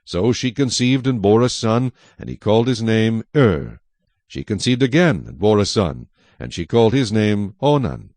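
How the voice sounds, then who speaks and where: A man's voice: lowish voice, sing-song, normal speed, a little mellow, no echo. A man reading scripture as the narrator of a dramatized audio Bible.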